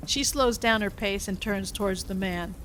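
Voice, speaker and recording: A woman's voice: medium pitch, normal speed, a bit bright, rough recording. Woman narrating a story, faint noise. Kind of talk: scripted narration of a story script.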